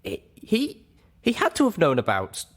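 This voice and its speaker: A man's voice: fairly high pitch, huge pitch swings, normal speed, voice pushed up. A man voicing an earnest young female pony heroine who is agitated and full of self-doubt in an audiobook story. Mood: agitated, unsure.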